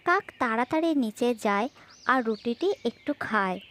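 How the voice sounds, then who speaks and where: A woman's voice: fairly high pitch, animated, normal speed, fairly bright tone, a little hiss or hum. A woman telling a children's fable as a scripted storyteller.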